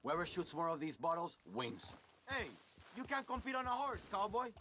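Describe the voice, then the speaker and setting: An actor playing a boastful roguish gambler in a western video game, with a cocky, drawling, theatrical delivery. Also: male voice, high voice, sing-song, moderate pace, sharp tone, slight background noise, full character voice. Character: boastful roguish male gambler challenging strangers to shooting contests.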